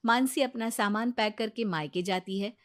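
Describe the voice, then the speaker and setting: A woman's voice: mid-range voice, normal speed. A woman reading scripted narration as a storyteller.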